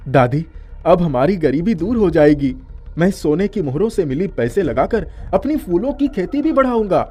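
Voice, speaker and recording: A performer voicing an earnest, kind-hearted young male college student in a moral story cartoon, polite and expressive. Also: medium pitch, moderate pace, slightly rough. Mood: delighted.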